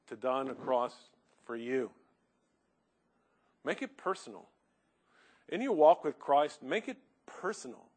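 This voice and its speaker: A man preaching a sermon, loud and earnest, reading hymn verses with rising force. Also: mid-range voice.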